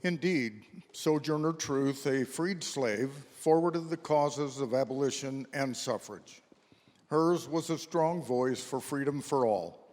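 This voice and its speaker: A male narrator's voice rings through a long-reverb hall. He reads a scripted history in a measured, formal manner, at an average pitch. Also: darkish tone.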